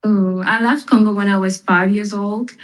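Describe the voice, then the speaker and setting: A woman's voice: mid-range voice, steady tone, normal speed. A young woman who grew up in southern Africa, answering casually as a podcast guest. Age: young adult.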